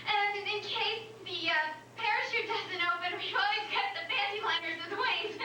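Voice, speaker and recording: A woman's voice: extremely high pitch, normal speed. A woman playing a nervous, gushing young first-time comic who stammers and rambles in a staged reading. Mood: nervous, fumbling. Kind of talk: staged reading before an audience. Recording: roomy, distant, noisy live recording.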